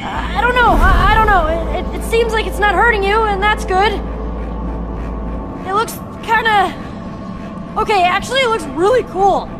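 A woman playing a young adventurer in a video game, a tough but caring friend who talks in a casual, halting way. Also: extremely high pitch, over a noisy crowd. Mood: nervous, reassuring. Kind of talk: animated video game voice acting. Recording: loud music behind the dialogue.

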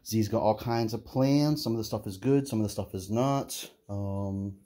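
A middle-aged man giving a casual, halting walkthrough of empty condo rooms, filmed on a handheld phone as he walks. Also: lowish voice. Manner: relaxed, halting, many "uh" fillers. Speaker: property investor giving a walkthrough. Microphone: phone camera held while walking.

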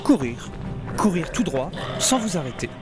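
A man's voice: on the high side, big pitch swings, moderate pace, over loud music. A man playing a wry, self-mocking survivor who narrates his misadventures in an audio serial with sardonic humour.

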